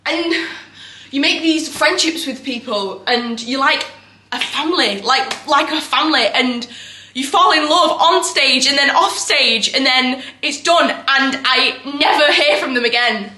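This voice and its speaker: A woman's voice: high voice, unhurried, sharp tone, a little hiss or hum. A woman performing a theatrical monologue as a restless, self-doubting young actress, raw and defensive. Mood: wry, resigned. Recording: echoey room recording.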